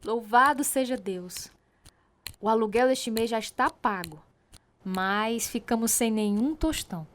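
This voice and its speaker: A woman acting as an evangelist's devout, thrifty wife in a radio drama, speaking in an earnest, measured way. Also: fairly high pitch, close to an everyday voice. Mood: grateful, practical.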